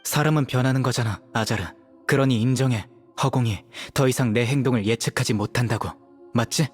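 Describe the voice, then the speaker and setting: Casting: male actor as cool, scornful young lawman in a game, rough-edged husky tone, measured menace. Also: medium pitch, soft background music, lightly acted. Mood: scornful, confident. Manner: measured, mocking, stern. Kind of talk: dramatic game voice acting.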